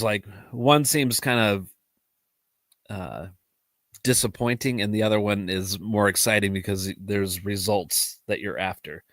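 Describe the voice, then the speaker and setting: A man's voice: lowish voice, a bit bright, no room sound. A man hosting a live podcast, talking casually with a nasal, allergy-stuffed voice, rambling, restarting his sentences and laughing often. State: congested from allergies. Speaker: podcast host. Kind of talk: casual solo livestream talk.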